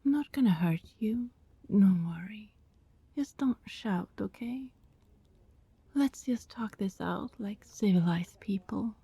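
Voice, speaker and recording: Soft, breathy vampire woman, acted, speaking slowly. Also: mid-range voice, animated, no echo, full character voice. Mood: hushed, coaxing. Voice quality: soft, breathy, often dropping to a whisper. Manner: hesitant, politely formal wording, sighing. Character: apologetic, anxious female vampire neighbor pleading to be understood. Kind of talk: ASMR roleplay acting.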